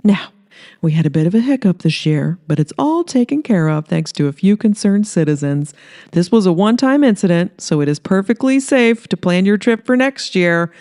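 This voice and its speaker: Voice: medium pitch, animated, normal speed, darkish tone, pitched above the performer's own voice, natural voice. A showy small-town mayor from a comic storybook, acted out by the narrator. He is glib and emphatic, speechifying like a salesman in a raised, swooping voice. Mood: smooth, reassuring.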